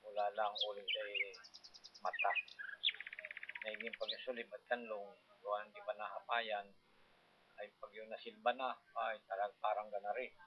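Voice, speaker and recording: A man's voice: lowish voice, level tone, moderate pace, pauses often, highs cut off, slight background noise. An older man, reminiscing casually in a rambling, colloquial, demonstrative way. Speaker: farmer recalling his working life.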